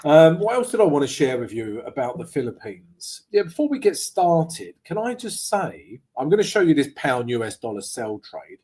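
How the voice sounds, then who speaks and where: A man with a slightly croaky voice, hosting a live trading stream in a lively, joking, chatty way. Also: a little high, moderate pace, hardly any pauses. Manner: lively, joking, chatty with viewers, frequent "right?" and "guys". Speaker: forex trading livestream host. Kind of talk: casual live trading chat. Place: home setup abroad, streaming live.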